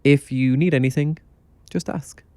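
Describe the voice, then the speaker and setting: A man's voice: medium pitch, steady tone, unhurried, darkish tone, dry recording, close to an everyday voice. A man playing a laid-back young motel clerk in an audio drama, offhand but kindly. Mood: helpful.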